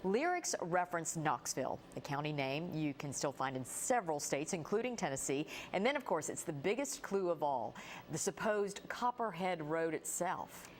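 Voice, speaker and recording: A woman's voice: low-pitched, sing-song, moderate pace. A woman news anchor delivering a scripted introduction to a feature story. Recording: faint background noise.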